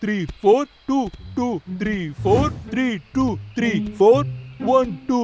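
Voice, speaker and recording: A man voicing an excitable, boastful young bear in a dubbed children's cartoon, with theatrical exclamations. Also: very high voice, unhurried. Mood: energetic counting. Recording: loud music behind the voice.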